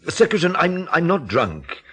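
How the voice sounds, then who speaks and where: An actor playing a sceptical, uneasy gentleman in an audio drama, with a husky voice and a halting, intense delivery. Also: on the high side, huge pitch swings, faint music behind, exaggerated voice. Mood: haunted. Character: sceptical, uneasy male gentleman named Lewis.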